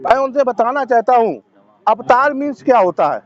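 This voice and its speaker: Male, high voice, emphatic activist interviewee, repetitive phrasing, dull lo-fi audio. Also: animated, moderate pace. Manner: assertive, emphatic, repeating phrases. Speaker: protester interviewed at a sit-in. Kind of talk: impassioned political and religious interview answers.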